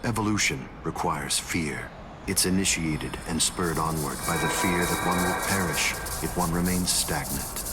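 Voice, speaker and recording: A man playing a grandiose, coldly arrogant godlike villain in an anime game dub, speaking formally in a measured, pompous way. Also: extremely low pitch. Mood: triumphant. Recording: loud music behind.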